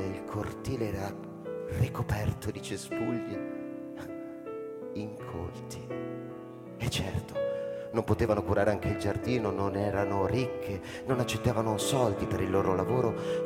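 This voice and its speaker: An actor playing a reflective, uneasy school headmaster, narrating a radio drama with deliberate pauses and hushed phrases. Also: male voice, on the low side, natural voice. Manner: deliberate, pausing, theatrical, dropping to hushed phrases. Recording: long reverb, loud music underneath. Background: loud music.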